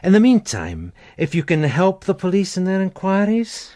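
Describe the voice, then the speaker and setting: Blunt detective sergeant, probing, animated intonation, naturalistic radio crime drama. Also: male voice, high-pitched, normal speed. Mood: pressing, stern. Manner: probing, plain-spoken. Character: blunt, dogged male police detective sergeant.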